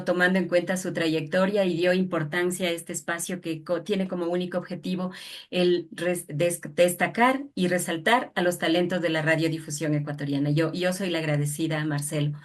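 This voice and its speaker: A woman hosting an interview in a polite, deferential, conversational way. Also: on the low side. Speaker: interviewer, host.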